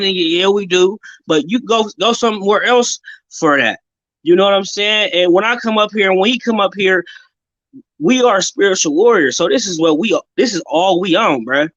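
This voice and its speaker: A fervent, profane man speaking as a panel guest on a livestream, with a high pitch for a man. His audio is dry and dull, like a cheap stream mic. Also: fairly bright tone, no echo. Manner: fervent and profane, repeats "bruh". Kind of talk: impassioned livestream rant.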